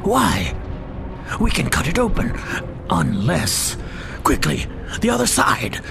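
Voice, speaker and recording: A scheming alien gang boss, played by a man, barking out pulp sci-fi radio drama. His gravelly voice swings widely between menace and command, with music underneath. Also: a little high, huge pitch swings, slow pace, exaggerated voice. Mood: urgent, scheming. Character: stern, scheming male alien gang captain.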